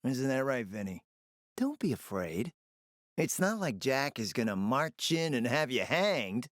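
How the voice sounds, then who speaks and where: A man's voice: mid-range voice, very animated, unhurried, no echo, studio quality. An actor playing a smug, flirtatious, decadent gourmand fixer in a video game, speaking in a pompous, theatrical, condescending way and savoring his words. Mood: haughty, disdainful. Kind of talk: video game character acting.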